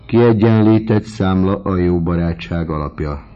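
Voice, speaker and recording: A man's voice: low-pitched, natural voice. A man playing a proud, cold young student. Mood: polite. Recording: dull lo-fi audiobook recording.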